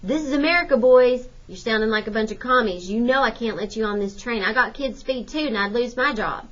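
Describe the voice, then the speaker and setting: A woman voicing a stern, bossy male railroad cop in a storybook, warning a crowd in a forceful, folksy way. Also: a little high, a little fast, lo-fi sound, close to an everyday voice. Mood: scolding.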